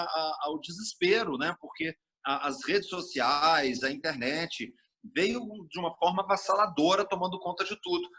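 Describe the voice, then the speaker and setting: A middle-aged man from Brasília, a TV journalist telling stories in a casual interview, expansive and animated, acting out quoted speech. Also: on the high side, slightly rough. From Brasília, Brazil.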